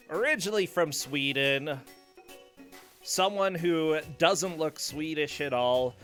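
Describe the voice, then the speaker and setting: A man's voice: medium pitch, bright, forceful tone. A man hosting a streamed game show, chatting casually and joking playfully. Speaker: host.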